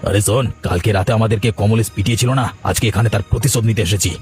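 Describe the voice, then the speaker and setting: Man acting scheming cartoon village crook, slightly low pitch, very fast talker, cowardly, vengeful. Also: darkish tone, faint music behind, close to an everyday voice.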